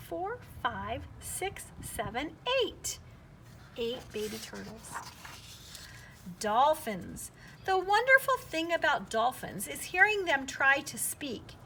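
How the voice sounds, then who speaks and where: High, animated woman reading to children. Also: takes it slowly, slight background noise, low-quality recording. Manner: expressive, simple child-directed prompts and counting. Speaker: teacher reading aloud to children. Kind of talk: children's picture book read-aloud.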